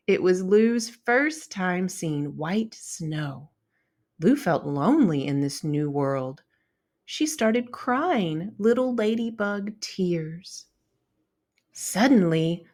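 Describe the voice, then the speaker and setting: A woman narrates a children's storybook in a mid-range voice. She takes her time and shapes each phrase with animated, expressive intonation. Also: mid-range voice.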